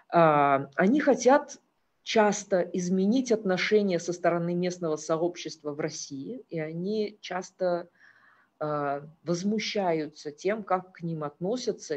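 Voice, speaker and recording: A woman with a low, husky voice presents a lecture to an audience, pausing on fillers as she works through her slides. The recording sounds dull and lo-fi. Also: darkish tone. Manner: earnest, hesitant with fillers, occasional laughs.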